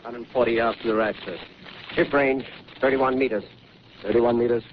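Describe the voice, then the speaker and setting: An actor playing a disciplined artillery soldier calling out terse orders in a 1930s radio drama. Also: male voice, mid-range voice, fairly even intonation, normal speed, natural voice. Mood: brisk, commanding. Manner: terse, barked military calls. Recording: muffled, noisy 1930s radio recording.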